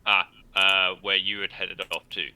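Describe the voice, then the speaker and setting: A man narrating and making rulings as game master, improvising in a halting way. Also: deep voice, level tone, pushed and bright, clear, resonant tone, rough recording.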